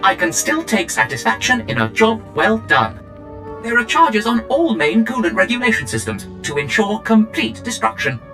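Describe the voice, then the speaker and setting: An actor playing a polite, proud demolition droid in a video game, formal and pedantic. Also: male voice, high voice, sing-song, moderate pace, gravelly, music in the background, full character voice. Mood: proud, unruffled. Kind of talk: scripted video game acting.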